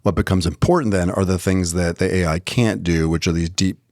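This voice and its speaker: A man's voice: deep voice, steady tone, brisk, darkish tone, no room sound, studio quality. A man co-hosting a podcast in a conversational style, thinking aloud informally and posing questions as he goes. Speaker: podcast co-host.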